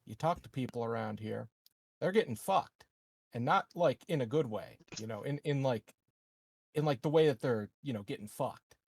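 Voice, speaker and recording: Casting: male tabletop player, creaky mid-pitched voice, halting blunt delivery, very dry close sound. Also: a little mellow, dry recording. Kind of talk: casual improvised roleplay talk.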